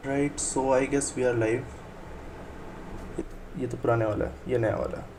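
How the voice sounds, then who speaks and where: Brief: male stream tutor, casual and chatty, rattling along at a very quick clip, mid-pitched. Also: steady tone, background noise. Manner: chatty, keeps checking in with "okay". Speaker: Python tutor streaming live. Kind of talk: casual live-stream teaching.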